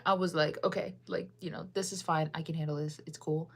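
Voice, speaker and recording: A young woman from the United States rambles through a casual livestream chat, answering viewers as she goes. Her voice sits a little low and she keeps circling back with fillers and restarts. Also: steady tone, a little fast, vocal fry. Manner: rambling, chatty, lots of fillers and self-corrections.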